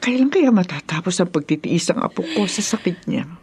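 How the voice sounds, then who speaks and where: A woman's voice: lowish voice, animated, a little fast, a little mellow, a little hiss or hum. A woman playing a worried, protective grandmother in a Filipino radio drama. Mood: worried, weary. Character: worried older grandmother, fretful and protective mother.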